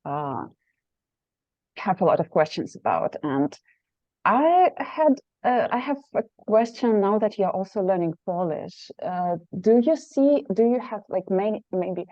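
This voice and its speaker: A woman's voice: medium pitch, sing-song, measured pace, dark tone, dry recording, dull audio. A woman in the audience asking a long, winding question after an academic talk, with many fillers. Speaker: audience member, language teacher.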